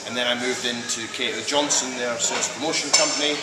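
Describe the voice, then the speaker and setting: A Scottish man in his fifties, chatting in an interview about his career, with lots of fillers and pauses. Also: medium pitch, steady tone, echoey room, very noisy. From Scotland. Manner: hesitant, many fillers, chatty. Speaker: interviewee, creative director. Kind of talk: casual interview.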